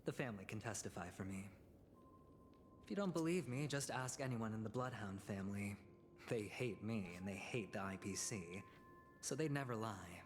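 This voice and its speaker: Man voicing a sly, theatrical gambler over loud music. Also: lowish voice, lightly acted. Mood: breezily defensive. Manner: theatrical, insinuating, deliberate. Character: sly, smooth-talking gambler man, playing innocent while scheming. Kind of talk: video game voice acting. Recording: game audio with music underneath.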